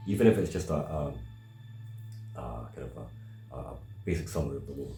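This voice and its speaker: A man around thirty with a very low, mellow voice hosts a reflective chat. He speaks haltingly and thoughtfully in a roomy, echoing space. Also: expressive, creaky. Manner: halting, thoughtful, repeats words. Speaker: video host. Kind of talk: reflective casual discussion. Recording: roomy echo, faint music.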